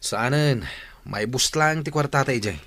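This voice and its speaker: A man's voice: mid-range voice, moderate pace, a bit bright, no echo, close to an everyday voice. A man playing a scheming street thief who plots robberies with his partner in a radio drama. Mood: shifty, urging. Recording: old noisy radio drama recording.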